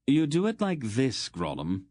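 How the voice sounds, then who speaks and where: A man voicing an ancient, stern sorceress woman who speaks in a cold, clipped, scathing way, raised well above his own low voice. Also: wildly swooping pitch, measured pace, a little mellow, no room sound, clean studio sound.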